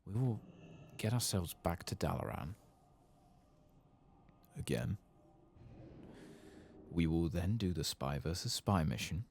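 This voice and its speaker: A man giving soft-spoken ASMR commentary on a video game, unhurried and often slipping into a breathy whisper. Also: low-pitched, animated, a little hiss or hum.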